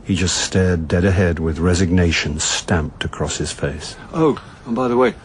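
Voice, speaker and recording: Low-voiced English man, acting a droll, dogged middle-aged detective, deliberate delivery, radio drama, some background noise. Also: lightly acted. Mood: quietly accusing. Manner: dry, deliberate, understated. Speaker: narrator and lead actor. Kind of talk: radio drama dialogue and first-person narration.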